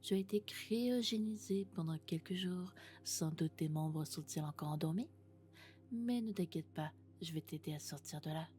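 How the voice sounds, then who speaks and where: A woman playing a friendly, doting female robot in an ASMR roleplay, speaking gently and often in whispers. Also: low-pitched, moderate pace, faint music behind, natural voice. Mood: gentle, cheerful. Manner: gentle, reassuring, alternating soft speech and whispers. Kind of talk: French ASMR roleplay, soft-spoken and whispered.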